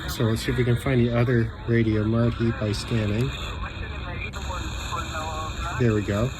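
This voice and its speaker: A man giving an unhurried, matter-of-fact hobbyist demonstration in a casual way. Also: mid-range voice, little pitch movement. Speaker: radio hobbyist reviewer. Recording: noisy, radio static and hiss.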